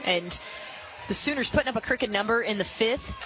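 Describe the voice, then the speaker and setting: A woman giving live softball analysis as a radio color commentator, explanatory and opinionated. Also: a little high, lively intonation, normal speed, over music, poor audio.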